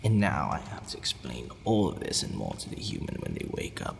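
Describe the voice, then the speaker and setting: Guy doing a broody vampire lord for asmr roleplay, deep n slow, formal but going soft, echoey hall sound w faint noise. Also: wildly swooping pitch, gravelly, heavily acted. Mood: pensive. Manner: brooding, formal, hesitant sighing musing. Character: possessive male vampire lord, haughty yet secretly softening. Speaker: roleplay voice actor. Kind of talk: ASMR audio roleplay acting. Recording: long reverb, faint background noise.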